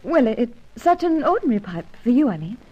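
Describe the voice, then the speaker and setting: A woman's voice: a little high, sing-song, brisk, breathy edge. An actress playing an innocent, earnest young woman in an old radio serial, with a hesitant, sincere manner. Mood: anxious, earnest. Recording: muffled old radio recording.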